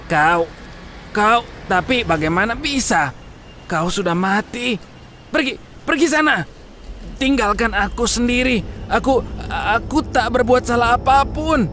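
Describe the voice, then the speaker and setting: A man's voice: very high-pitched, expressive, a little slow, pauses often, a bit bright, slight background noise, full character voice. A man playing a stingy, grumpy old businessman in a cartoon story, with a curt, scolding way of talking. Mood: frightened. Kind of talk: dramatised children's story dialogue.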